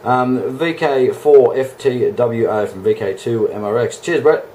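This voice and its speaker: A man's voice: medium pitch, measured pace, mellow, slight background noise. An Australian man chatting casually as an amateur radio operator, with a matey, laid-back way of talking. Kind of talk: casual two-way radio chat.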